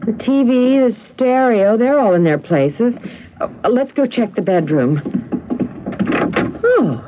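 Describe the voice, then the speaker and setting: An actress playing an anxious, curious wife in a radio drama. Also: female voice, fairly high pitch, animated, slow. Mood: suspicious. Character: anxious, curious wife puzzling over a burglary. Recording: muffled, noisy old radio broadcast.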